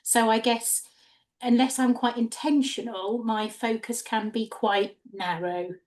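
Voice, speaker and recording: A woman's voice: on the high side, steady tone, a little slow, darkish tone. A woman, a counsellor, speaking hesitantly and reflectively as a workshop participant. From Norfolk, England. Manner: hesitant, reflective, many ums.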